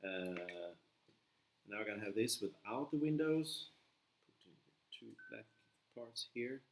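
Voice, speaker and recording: A man's voice: lowish voice, animated, a little slow, reverberant, a little hiss or hum. A man from Sweden with a Swedish accent, chatting casually to viewers of his live stream while building, rambling and answering comments. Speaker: livestream host building a model kit.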